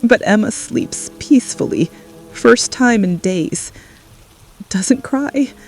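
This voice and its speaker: A woman playing an exhausted, frightened mother in an apocalypse radio drama, confessing in short, halting phrases. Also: a little high, soft background music. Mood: resigned, pleading. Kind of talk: scripted radio drama monologue. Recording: steady rain noise behind voice. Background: rain ambience.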